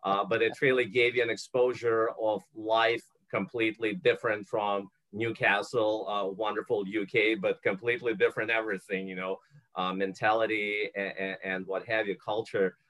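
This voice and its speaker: A man from Ukraine hosts an interview, winding through long questions with plenty of "uh" and "you know". His voice sits fairly low. He laughs easily between thoughts. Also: flat delivery, normal speed, a bit bright. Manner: long rambling questions with many fillers. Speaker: host. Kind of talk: interview podcast.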